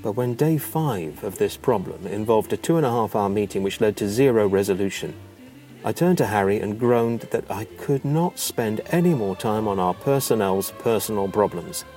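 A man narrating a written memoir in an expressive, measured, theatrical storytelling manner. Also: lowish voice, soft background music.

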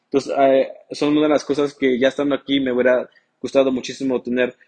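A man's voice: mid-range voice, little pitch movement, fast, a little mellow. A young man who grew up in the United States with English as his first language, speaking as an interview guest in a hesitant way, with many fillers and restarts. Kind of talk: casual interview talk.

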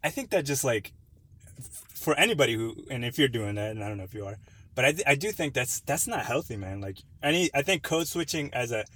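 A man co-hosting a casual podcast discussion, explaining his view in a measured, laid-back way. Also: mid-range voice, very animated, fairly bright tone. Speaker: podcast co-host.

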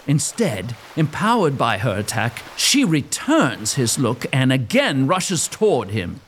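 A man's voice: medium pitch, big pitch swings, unhurried, a little hiss or hum. A man narrating a gothic audio drama as a scripted story, in a theatrical, suspenseful manner.

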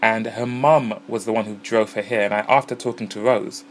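A man's voice: fairly low pitch, steady tone, brisk. A man hosting a music podcast, chatting casually and easily about bands and gigs. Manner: chatty, easygoing, self-deprecating. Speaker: podcast host. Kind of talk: casual podcast narration and interviews. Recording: noisy pub smoking area with crowd.

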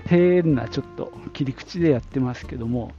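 A man's voice: mid-range voice, brisk. A middle-aged or older man chatting casually on his own as a podcast host, reminiscing in a reflective way and thinking aloud. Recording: dull lo-fi audio, prominent music. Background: music.

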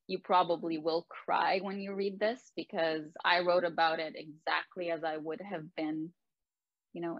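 A young woman from Lebanon speaks in a low voice, reflecting candidly and earnestly on her own story with casual asides. The recording is dry and close, with a slightly dull, muffled tone. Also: dry recording. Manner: candid and earnest, with many "like" and "you know" asides. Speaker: author sharing her life story. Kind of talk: personal reflective monologue.

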